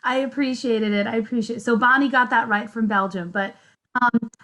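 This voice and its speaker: A young woman hosting a virtual conference session, moderating questions in a friendly, relaxed way from an office. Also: on the high side, brisk, poor audio. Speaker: event host, moderator. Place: office, indoors. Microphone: video call.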